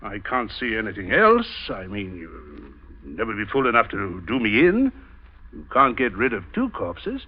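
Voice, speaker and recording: An actor playing a bluff, easily rattled English captain in an old radio suspense drama, stammering in upper-class British speech. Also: male voice, lowish voice, huge pitch swings, moderate pace, slightly rough, heavily acted. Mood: nervous, pleading. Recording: dull vintage radio recording.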